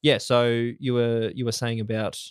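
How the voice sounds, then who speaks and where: A man hosting a casual podcast chat, soft-voiced and relaxed, swearing now and then. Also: mid-range voice, normal speed, clean tone, no room sound, clean studio sound. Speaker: podcast host. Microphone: studio mic in a home-built voiceover booth.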